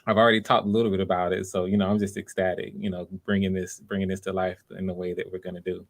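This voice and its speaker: Low-voiced man hosting auditions. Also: little pitch movement, fast, creaky. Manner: encouraging, repeats phrases. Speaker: casting director hosting live voice auditions. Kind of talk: casual live audition chat.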